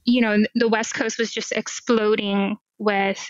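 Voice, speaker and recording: A woman's voice: mid-range voice, flat delivery, measured pace, dry recording. A middle-aged woman from Hawaii, a guest on a video-call interview, speaking thoughtfully with small restarts through a headset mic. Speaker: guest, cloud AI expert. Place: home room with window blinds. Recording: slightly dull lo-fi call audio.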